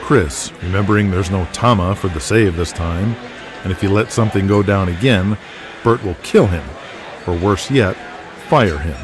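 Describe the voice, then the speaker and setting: A man's voice: deep voice, normal speed. A man narrating a scripted audio drama as the storyteller. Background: music with guitar.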